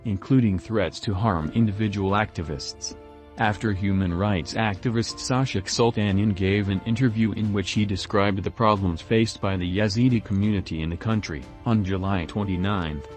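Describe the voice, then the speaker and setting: Male news narrator, lowish voice, steady scripted read over faint music. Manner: even, measured reading.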